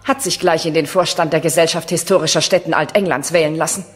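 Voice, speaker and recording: An actress playing a haughty, shrewd English castle lady with a grand, measured manner in a 1970s German radio play. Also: low-pitched, steady tone, natural voice. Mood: scornful. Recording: reverberant castle hall acoustics.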